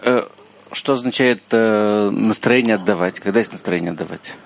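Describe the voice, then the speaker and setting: A man's voice: lowish voice, moderate pace, vocal fry, crowd noise. A man asking questions during a spiritual lesson, with other people audible behind him.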